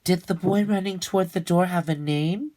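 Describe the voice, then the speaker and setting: A man's voice: high voice, normal speed, warm tone, voice pushed up, lightly acted. A man voicing a curious, imaginative young boy in a storybook, eager and full of wondering questions. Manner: wondering, inquisitive.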